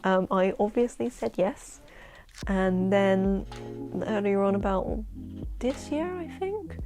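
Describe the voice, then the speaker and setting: A young woman with a London accent and a husky voice, chatting excitedly to camera in a casual vlog about her work as a poet. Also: mid-range voice, faint music behind. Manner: chatty, excitable, quick asides and laughs. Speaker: poet and vlogger. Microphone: camera-mounted shotgun mic.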